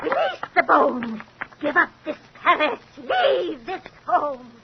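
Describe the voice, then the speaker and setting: Very noisy, muffled old radio horror has an eerie occult chanter. The voice is extremely high and creaky, commanding in drawn-out incantation. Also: male voice, huge pitch swings, full character voice. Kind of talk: vintage radio horror acting.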